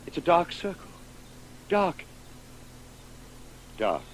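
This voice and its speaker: An actor playing an anguished middle-aged father full of guilt and grief in a radio play, halting and repeating himself. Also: a little high, wildly swooping pitch, full character voice. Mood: lost, fearful. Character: anguished, guilt-ridden father grieving a lost woman. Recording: faint hiss of an old radio broadcast.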